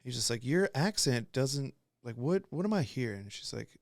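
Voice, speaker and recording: A young man hosts a casual podcast, swearing freely and rambling through stories while acting out other people's lines. His voice sits at an ordinary pitch, and the sound is dry and close. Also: expressive, moderate pace, vocal fry, no echo. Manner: profane, rambling storyteller who acts out quotes. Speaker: podcast host.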